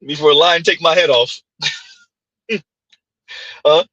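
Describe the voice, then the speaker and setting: A man with a Haitian accent commenting on clips during a live stream, emphatic and rhetorical, with a husky voice. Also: a little high, slow pace, pushed and bright, dry recording, dull audio. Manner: emphatic, rhetorical "huh?" asides.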